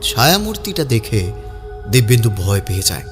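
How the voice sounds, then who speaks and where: A man's voice: on the low side, very animated, fairly quick. A man narrating a scripted story in a dramatic audiobook style. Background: background music.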